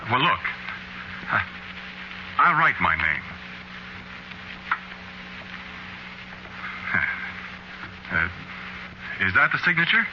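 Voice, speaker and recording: A man's voice: mid-range voice, sing-song, unhurried. An actor playing an anxious, bewildered man facing his own death in a 1940s radio drama, hesitant and stammering, on a muffled, noisy old recording.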